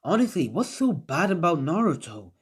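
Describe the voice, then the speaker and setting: A narrator voicing a weary, fed-up young ninja who speaks calmly in a fan-made story. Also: medium pitch, normal speed, husky, voice pushed up.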